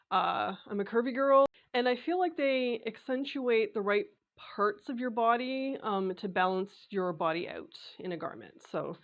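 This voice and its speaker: Raspy, congested woman chatting about sewing. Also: medium pitch. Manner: chatty, with frequent filler sounds and restarts. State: congested, recovering from a cold. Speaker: sewing vlogger. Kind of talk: casual talk to camera.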